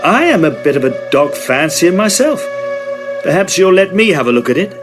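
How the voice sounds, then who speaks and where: Man playing earnest young Victorian student, lively intonation. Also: fairly high pitch, moderate pace, over music. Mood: politely probing. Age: young adult. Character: earnest, inquisitive young Oxford student of the 1880s. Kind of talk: Victorian audio drama dialogue.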